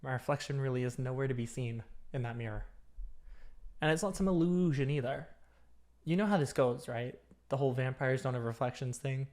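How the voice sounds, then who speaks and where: An obsessive vampire lab technician, acted out by a performer for an ASMR roleplay. The voice sits mid-low and talks intimately and chattily. The coaxing carries a dominant, starved menace. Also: a little fast, a little mellow, lightly acted. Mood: casually teasing.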